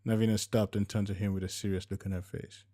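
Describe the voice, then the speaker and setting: A man's voice: fairly low pitch, flat delivery, fast talker, dark tone. A man reading scripted fiction as a narrator, telling a romantic story in prose.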